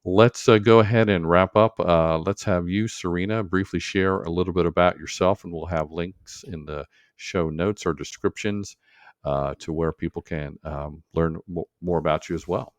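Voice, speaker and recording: Podcast host, male, low and clear-toned, halting and conversational, recorded dry. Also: fairly even intonation, no room sound.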